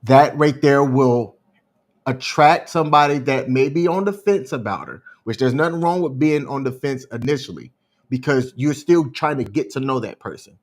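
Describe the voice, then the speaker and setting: A man's voice: medium pitch, normal speed. A man co-hosting a podcast, casually giving his opinions and explaining his points at length. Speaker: podcast co-host. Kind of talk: casual commentary chat.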